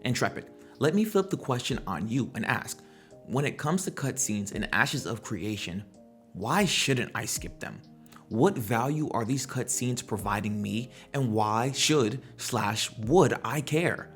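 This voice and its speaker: A man's voice: medium pitch, expressive, a little slow, soft background music. A man hosting a gaming commentary video, talking in a conversational, slangy way and throwing out rhetorical questions. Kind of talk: casual opinionated video commentary.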